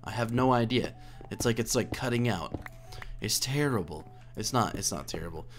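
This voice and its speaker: A slightly hoarse man giving a casual, rambling let's play commentary, thinking aloud and correcting himself as he goes. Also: lowish voice, animated, normal speed, slight background noise. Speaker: video game let's play host.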